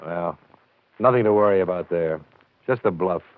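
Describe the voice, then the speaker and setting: An actor playing a tough, blunt insurance investigator in a 1950s radio crime drama. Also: male voice, low voice, moderate pace, lightly acted. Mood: probing. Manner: hard-boiled, blunt, pressing. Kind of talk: 1950s radio drama acting and first-person narration. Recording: narrow-band vintage radio recording.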